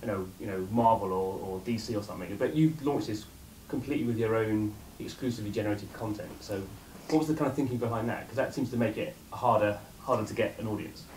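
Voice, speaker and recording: A man's voice: lowish voice, fast, a little mellow. A man working as a journalist, asking casual, rambling, tentative questions in a roomy recording, away from the microphone with noise around him. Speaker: journalist interviewer. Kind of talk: casual interview. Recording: roomy, far from mic, noisy surroundings.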